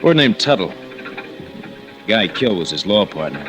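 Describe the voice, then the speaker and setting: A folksy working fellow chats away with lively swings in his fairly low voice. It sounds like an old radio drama, gossipy and drawling, with music underneath. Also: moderate pace. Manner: folksy, drawling small talk. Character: folksy, chatty working man, truck driver or counterman. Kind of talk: 1940s radio drama acting.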